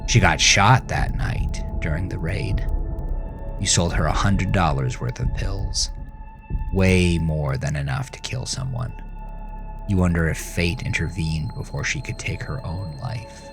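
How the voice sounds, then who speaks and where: A man narrating a horror story with a grave, deliberate, suspenseful delivery. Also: deep voice, huge pitch swings, music in the background. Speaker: author and narrator of horror podcast. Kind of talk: dramatic second-person horror story narration.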